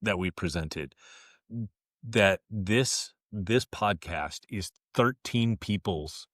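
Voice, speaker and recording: Sex: woman. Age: 40s. Pitch: exceptionally low. Role: podcast co-host. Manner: dry, teasing. Sound: dry, close. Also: animated, slow pace, no room sound. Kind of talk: casual podcast chat.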